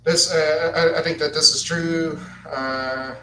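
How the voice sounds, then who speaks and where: A man's voice: fairly high pitch, fairly even intonation, takes it slowly, pushed and bright, distant mic. A man in his twenties hosting a solo podcast, who stutters and repeats words as he partly reads from a script. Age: 20s. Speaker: podcast host. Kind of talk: solo podcast talk, partly read aloud. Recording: echoey room, voice off-mic, faint noise.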